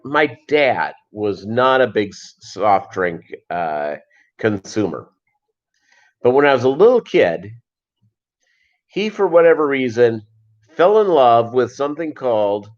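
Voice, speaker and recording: A middle-aged American man chatting casually and unscripted on a livestream, rambling and halting with a jokey manner. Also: medium pitch, big pitch swings. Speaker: fishkeeping livestream host and voiceover performer. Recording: dull lo-fi livestream audio.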